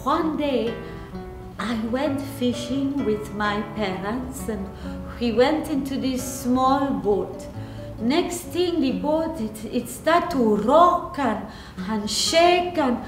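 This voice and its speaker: A woman voicing a living statue of a young Venezuelan saint, halting and earnest, recounting plaintively in simple broken English for a storybook. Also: high voice, lively intonation, distant mic. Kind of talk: dramatic storybook reading. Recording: roomy echo, faint music behind.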